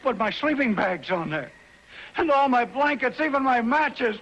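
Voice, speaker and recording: An excitable, high-voiced pleading prospector. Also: male voice, moderate pace, muffled recording, full character voice. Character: mild, indignant, pleading male gold prospector. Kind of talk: 1950s television western acting. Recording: old TV soundtrack with music behind.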